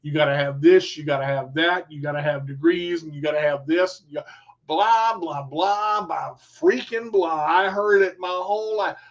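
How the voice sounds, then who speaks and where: A man's voice: fairly high pitch, normal speed, muffled recording. A man hosting his own radio show, giving an emphatic motivational talk to listeners, repeating key phrases and checking in with "okay?". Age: adult with a son. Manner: emphatic, repetitive, talks straight to listeners. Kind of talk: motivational monologue.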